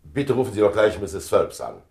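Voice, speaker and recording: Deep-voiced, urbane, weary statesman. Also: very animated, moderate pace, vocal fry, darkish tone. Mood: urgent, smooth. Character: weary, dutiful, self-important 56-year-old official in foreign affairs. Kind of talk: German radio play acting.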